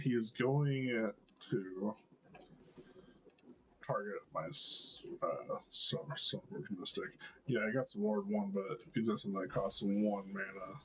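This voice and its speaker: A man with a deep voice giving casual gameplay commentary, thinking aloud with lots of hesitant ums and restarts. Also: slight background noise, low-quality recording. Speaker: gameplay video creator.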